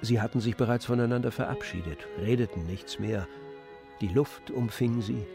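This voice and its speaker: Man, scripted literary narration, medium pitch, creaky, measured storytelling, soft music underneath.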